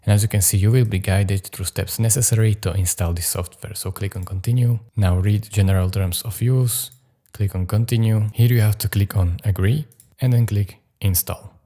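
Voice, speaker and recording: Male tutorial narrator, deep and soft-toned, giving step-by-step software instructions. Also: fairly even intonation, normal speed. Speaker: tutorial host.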